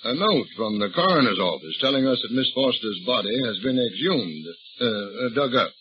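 An actor playing a shrewd, folksy older police detective, drawling and calmly needling a suspect in a 1930s radio drama. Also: male voice, medium pitch, normal speed, close to an everyday voice. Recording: dull old radio recording with faint hiss.

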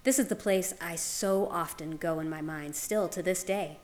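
A woman narrates a piece of written fiction in a fairly low voice. She reads it as scripted storytelling, with light music playing behind her. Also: normal speed, darkish tone. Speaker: guest reader. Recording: music under the voice.

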